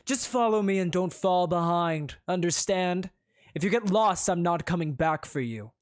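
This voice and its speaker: A man's voice: sits high, expressive, moderate pace, pitched above the performer's own voice. A storyteller voicing a haughty, spoiled young male prince who huffs and sneers. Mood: bossy. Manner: huffy, sneering and indignant.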